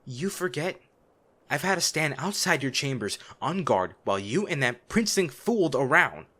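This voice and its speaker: A man playing a noble lady's gruff, protective bodyguard, blunt and emotional, in a dramatic fantasy audio roleplay. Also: on the high side, big pitch swings, moderate pace, pushed and bright, exaggerated voice. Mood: angry, scolding. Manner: exasperated, emotional, blunt, with sighs. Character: gruff, protective male bodyguard of a noble lady, scolding yet anxious.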